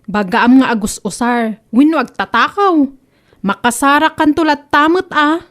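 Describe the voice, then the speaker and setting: An actress playing an indignant, sharp-tongued woman who reproaches and taunts a gossip in a comedy radio drama. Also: high-pitched, moderate pace. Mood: indignant, taunting.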